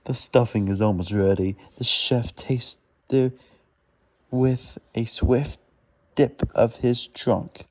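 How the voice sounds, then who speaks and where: A man with a soft, mellow voice reads a children's storybook aloud at a slow pace. His delivery is laboured and halting, and he often stumbles and goes back to correct a word. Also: medium pitch.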